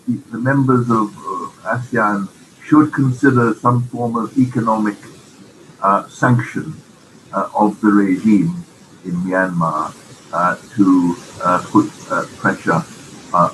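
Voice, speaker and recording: Hesitant low-voiced male reporter over background noise. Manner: hesitant, broken into short phrases. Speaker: news agency reporter. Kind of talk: question at a press conference. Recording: clear background ambient noise.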